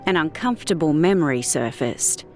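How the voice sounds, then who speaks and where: A woman reading a fictional story as an audiobook narrator, in a measured, deliberate storytelling manner. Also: low voice, animated, soft background music.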